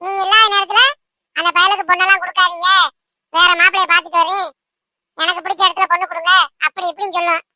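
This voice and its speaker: A woman's voice: extremely high pitch, flat delivery, fast, sharp tone, no echo, full character voice. A woman voicing a caring, gossipy young bride-to-be in a cartoon, chatty and emphatic. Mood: indignant gossip. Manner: chatty, emphatic, repeatedly seeking agreement. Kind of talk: amateur cartoon dialogue in colloquial speech.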